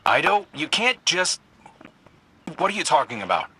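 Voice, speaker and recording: A man's voice: on the high side, sing-song, moderate pace, pushed and bright, breathy edge, full character voice. An actor playing a weary, principled male field commander in a video game, firm and plain-spoken. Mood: firm, exasperated. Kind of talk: naturalistic video game acting.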